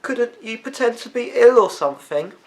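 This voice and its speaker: A man's voice: very high voice, lively intonation, moderate pace, a little mellow, voice pushed up. A man voicing a small, nervous schoolboy with a plaintive manner in a children's storybook. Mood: pleading. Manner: anxious, plaintive. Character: small anxious nervous schoolboy, eager friend.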